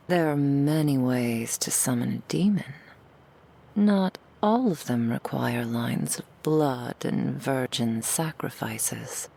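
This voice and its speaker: A woman voicing a seductive, cruel female demon in an ASMR roleplay, in a slow, breathy whisper full of mocking, intimate taunts. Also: extremely low pitch, darkish tone, heavily acted. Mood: silky menace.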